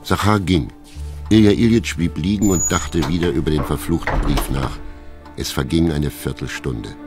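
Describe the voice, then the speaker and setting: A man's voice: very deep voice, normal speed. A man narrating a literary radio play from a script as a composed storyteller. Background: music.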